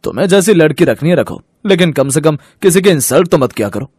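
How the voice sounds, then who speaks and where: A man playing a reproachful, high-pitched family man. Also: lively intonation, fairly quick, darkish tone, slightly rough, no room sound, studio quality. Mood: indignant. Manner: reproachful, earnest, emphatic. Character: indignant, fair-minded father and his grown sons. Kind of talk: dramatised Hindi moral story.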